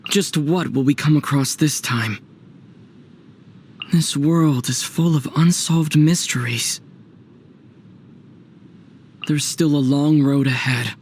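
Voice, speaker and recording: A man's voice: fairly high pitch, slow pace, long pauses, darkish tone, a little hiss or hum. A man voicing an earnest, determined young male traveler in a video game. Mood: calm, thoughtful.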